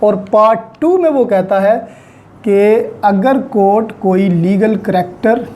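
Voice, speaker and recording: A law lecturer with a Pakistani accent and a mellow, relaxed tone at a middling pitch. The delivery is deliberate and explanatory, with key terms repeated and unpacked. English legal terms are mixed into the speech.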